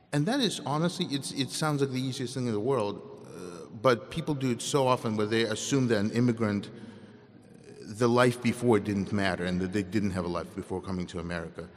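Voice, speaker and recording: A young man from Ukraine with a Russian accent, answering student questions candidly at a convocation and often breaking off and restarting. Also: on the low side. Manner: candid, self-interrupting, conversational. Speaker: guest speaker. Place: large hall. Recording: long hall reverb.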